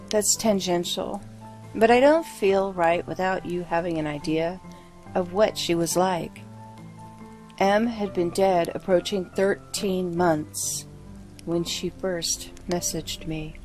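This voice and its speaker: Low-voiced woman narrating horror. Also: a little mellow. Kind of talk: scripted first-person horror story narration.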